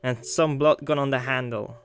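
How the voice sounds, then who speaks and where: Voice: mid-range voice, fairly even intonation, moderate pace. A gaming host talks through a story game in a casual way, thinking aloud as it goes. The language is loose, with some swearing. Faint music plays behind the voice. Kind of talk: let's play commentary.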